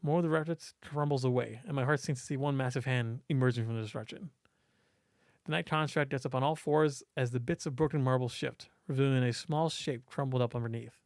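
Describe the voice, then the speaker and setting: A man's voice: medium pitch, steady tone, a little fast, no room sound, studio quality. A man giving a dramatic read-aloud narration of a visual novel, now and then stumbling over his words. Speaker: game let's-play host and voice actor.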